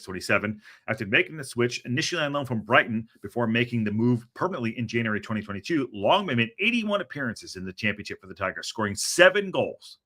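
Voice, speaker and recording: A man hosting a football podcast, talking in an animated, rambling way with a lot of restarts. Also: lowish voice. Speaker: podcast host. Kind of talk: casual chat.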